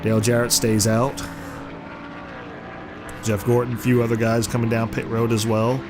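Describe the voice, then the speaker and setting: A man's voice: mid-range voice, moderate pace. Congested man calling a racing game. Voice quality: rough, congested voice. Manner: excited play-by-play, rising sharply at crashes. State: hoarse and congested from the flu. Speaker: gaming commentator. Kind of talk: casual racing game commentary. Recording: game music and car noise underneath. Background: racing game engine noise and music.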